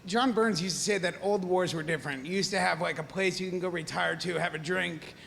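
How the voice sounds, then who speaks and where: A man's voice: high voice, brisk, creaky, fairly bright tone. A man, a fellow journalist in the audience, giving an earnest and reflective remark at a panel. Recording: reverberant hall, faint noise.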